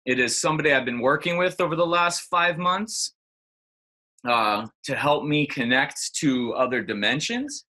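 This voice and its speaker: A man hosting a casual chat in an excitable, playful way. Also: on the high side, normal speed. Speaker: host.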